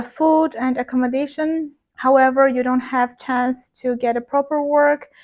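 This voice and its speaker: A woman, an English speaker and teacher, chatting frankly to camera with frequent fillers. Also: high-pitched. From Armenia. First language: Armenian. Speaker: vlogger and ESL teacher. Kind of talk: casual talk to camera.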